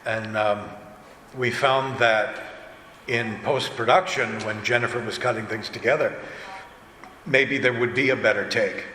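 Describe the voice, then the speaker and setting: A man's voice: mid-range voice, fairly even intonation, measured pace, pushed and bright. A man speaking as a director on a panel, telling anecdotes in a chatty, informal way. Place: indoor convention panel room. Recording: echoey hall, faint noise.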